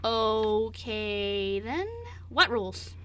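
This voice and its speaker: A woman playing a cheeky, defiant eighteen-year-old queer young man in an amateur radio play, arguing in an animated way. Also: on the high side, extremely slow, full character voice. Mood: playful. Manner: animated, argumentative.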